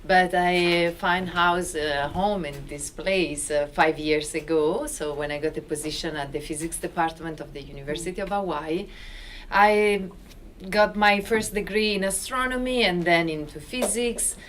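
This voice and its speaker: A middle-aged Italian woman with a fairly low voice talks in accented English, lively and sometimes groping for the right word. She speaks into a handheld mic in a lecture room, with a faint hum of room noise behind her. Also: moderate pace. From Italy. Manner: animated, searching for words, non-native phrasing. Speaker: physicist on a panel. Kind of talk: panel introduction. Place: university lecture room with audience.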